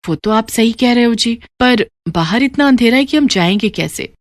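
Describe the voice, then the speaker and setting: A woman voicing a mild, reasoning farmer's wife in an animated moral story. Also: fairly high pitch, moderate pace, no echo, studio quality. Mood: mildly protesting.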